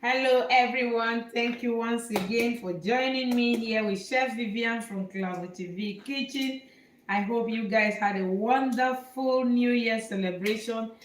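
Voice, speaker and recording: A woman from Nigeria hosting a live cooking demonstration as a chef, explaining each step slowly and repeating herself with fillers. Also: a little high. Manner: slow, repetitive explaining with fillers. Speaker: cooking show host, chef. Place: home kitchen. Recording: echoey kitchen, faint noise.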